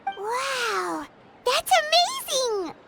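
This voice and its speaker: A woman voicing a small, excitable, chatty childlike fairy companion in a video game. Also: very high-pitched, drawn-out words, creaky, over loud music, exaggerated voice. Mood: amazed. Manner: refers to self in third person, exclamatory.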